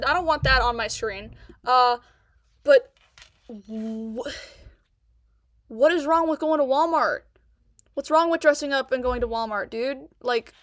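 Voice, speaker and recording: Brief: woman streamer with a stutter, fairly high voice, dry close sound, indignant reaction commentary. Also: pushed and bright, no echo. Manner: indignant, repeats herself.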